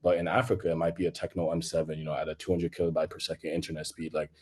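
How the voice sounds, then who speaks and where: A man from Congo whose first language is French speaks on a conference panel in a very low, dark, husky voice. His talk is loose and full of fillers as he builds his points. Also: level tone, very fast. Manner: loose and filler-heavy, leaning on "you know". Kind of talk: casual panel discussion.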